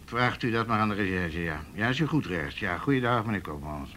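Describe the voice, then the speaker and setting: An actor playing a weary, wry, middle-aged police officer in a Dutch radio play, dry and hesitant in manner. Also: male voice, lowish voice, animated, a little fast, very noisy, close to an everyday voice. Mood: irritated. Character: weary, wry older male police officer in youth affairs.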